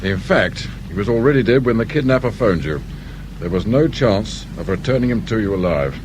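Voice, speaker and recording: An actor playing a dry, brusque middle-aged English detective inspector in a radio drama. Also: male voice, lowish voice, lively intonation, brisk, lightly acted. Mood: sober, official. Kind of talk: naturalistic British radio drama acting. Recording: clear background noise and ambience.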